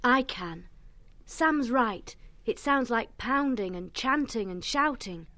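A woman's voice: fairly high pitch, animated, slow pace, pushed and bright, exaggerated voice. A woman voicing a sober, earnest young animal full of foreboding in a storybook. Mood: uneasy.

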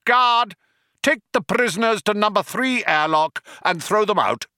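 A man voicing a callous alien captain and would-be poet in a slow, menacing, grating voice for a storybook. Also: on the low side, wildly swooping pitch, creaky, sharp tone, dry recording, clean studio sound, heavily acted. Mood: cold anger. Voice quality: whirring, grating voice.

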